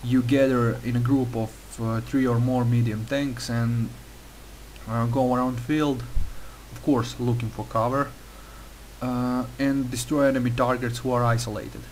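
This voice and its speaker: A man's voice: medium pitch, little pitch movement, unhurried, a little hiss or hum, poor audio. Croatian-accented man explaining game tactics. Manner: careful non-native phrasing with frequent uh fillers. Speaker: game tutorial narrator. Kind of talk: gameplay tutorial voice-over.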